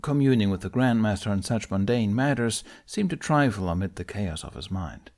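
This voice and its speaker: A man with a fairly low voice reads a story aloud. His narration is expressive and shifts into voices for each character. The delivery suits a fan audiobook. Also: moderate pace. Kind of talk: reading fan fiction aloud.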